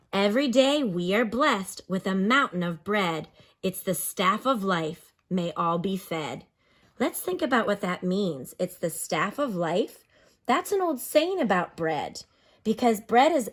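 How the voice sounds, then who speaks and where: Woman, teacher. Medium-pitched, expressive, instructional read-aloud with explanations and questions for kids.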